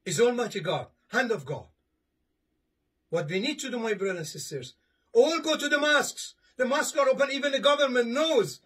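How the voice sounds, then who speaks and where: Husky high-voiced man preaching a sermon. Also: brisk, pushed and bright. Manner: earnest, repetitive, with many restarts, gesturing while reading from papers. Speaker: imam preaching a Friday sermon. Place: indoor study lined with bookshelves. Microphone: camera in front at a few steps.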